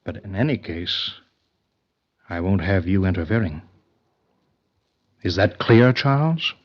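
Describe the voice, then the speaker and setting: A man's voice: low-pitched, animated, measured pace, muffled recording. An actor playing a callous, vengeful invisible man in an old-time radio thriller, cool and commanding, on a dated recording. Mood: menacing. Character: callous, vengeful invisible man, coolly menacing and power-hungry.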